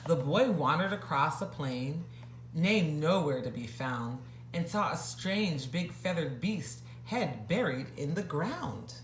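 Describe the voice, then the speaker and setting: A woman reading a rhyming bedtime story aloud with expressive narration. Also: low-pitched, takes it slowly, echoey room, a little hiss or hum.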